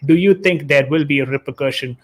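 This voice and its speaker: A man hosts a casual live football stream, talking straight to his viewers. His voice sits a little high for a man, and he reads out chat messages with plenty of ums and uhs. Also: fast. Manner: reads chat messages aloud, hesitant with fillers.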